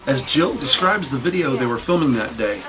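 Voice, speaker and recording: A man delivering deliberate, dramatic scripted narration for a police procedural. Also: medium pitch, animated, distant mic, music in the background.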